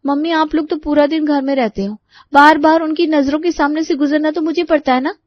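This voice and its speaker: A woman's voice: high-pitched, brisk, no echo, highs cut off, lightly acted. A woman playing an aggrieved young college student, complaining plaintively in story dialogue.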